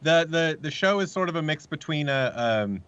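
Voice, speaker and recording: A man talking casually and a little haltingly as an interview guest, pitching an audio drama he helped create. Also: lively intonation, fairly bright tone, clear, resonant tone, no echo, muffled recording.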